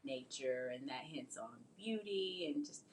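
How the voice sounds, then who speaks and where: A woman's voice: a bass voice, lively intonation, unhurried, a little hiss or hum. A woman hosting a casual interview, chatting and telling stories in a conversational way. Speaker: host, marketing strategist and children's book author. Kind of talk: casual interview chat with storytelling.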